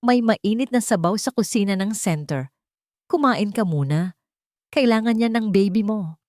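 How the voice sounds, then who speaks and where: A female narrator plays a serious man in an audio romance, measured and quietly in charge. The sound is dry and close. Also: medium pitch, no echo, pitched above the performer's own voice, natural voice. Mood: calm authority. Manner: calm, measured. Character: calm, serious man with gentle authority.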